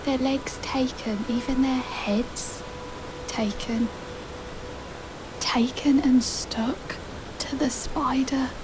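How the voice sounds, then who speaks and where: High, fragile, haunted young woman. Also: fairly even intonation. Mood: haunted, grieving. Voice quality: soft, breathy. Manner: halting, dazed, mournful. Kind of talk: scripted fantasy game dialogue. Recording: hissy ambient noise behind.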